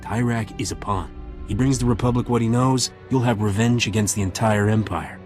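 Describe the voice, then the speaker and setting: An actor voicing a roguish smuggler captain in a video game, laconic and wry. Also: male voice, on the low side, steady tone, fairly quick, a little mellow, faint music behind, natural voice. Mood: matter-of-fact.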